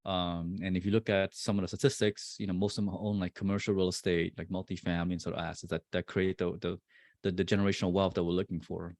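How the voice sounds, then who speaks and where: A man in his forties, a real estate investor, answering interview questions in a halting way with many fillers and restarts. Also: deep voice, flat delivery, a little mellow, no room sound, clean studio sound. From born in Vietnam, raised in New Jersey.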